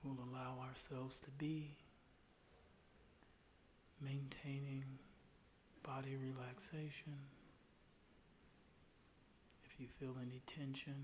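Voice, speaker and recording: Soft, even-toned man guiding meditation. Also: medium pitch, slight background noise. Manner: calm, soothing, unhurried instruction with pauses. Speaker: meditation guide. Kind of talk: guided meditation narration.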